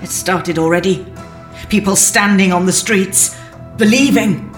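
Echoey room, woman acting a grave foreboding prisoner, slow delivery, faint music. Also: mid-range voice, close to an everyday voice. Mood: grim warning. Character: ominous, weary alien woman held captive. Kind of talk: audio drama acting.

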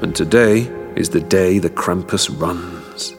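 A man's voice: low voice, creaky, full character voice. An actor playing an ominous man in an audio drama clip, speaking with foreboding. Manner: slow, foreboding. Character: ominous man telling of a demon. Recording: drama clip with music score.

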